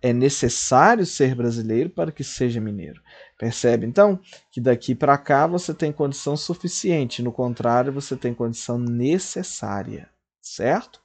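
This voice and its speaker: A man's voice: medium pitch, lively intonation, moderate pace, darkish tone. A man, teaching a logic lesson at a board. He explains step by step and keeps checking in with his students.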